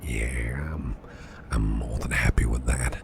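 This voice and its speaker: A man playing a caring, protective businessman boss in an ASMR roleplay, speaking in a soothing, reassuring, breathy half-whisper. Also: a bass voice, steady tone, slow pace, background noise, heavily acted. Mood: tender, reassuring. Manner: soothing, reassuring, affectionate, gently murmured. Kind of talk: soft ASMR roleplay, personal attention.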